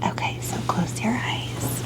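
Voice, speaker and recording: A woman in a whispered ASMR roleplay as a gentle, reassuring doctor, with a soft, breathy delivery. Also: measured pace, low-quality recording. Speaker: ASMR performer playing a doctor.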